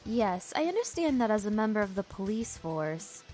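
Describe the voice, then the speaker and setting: A woman's voice: mid-range voice, big pitch swings, normal speed, a little mellow, faint music behind, close to an everyday voice. A woman voicing a persistent, businesslike hospital nurse in a visual novel game. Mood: urgent, questioning. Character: insistent female hospital nurse pressing for answers.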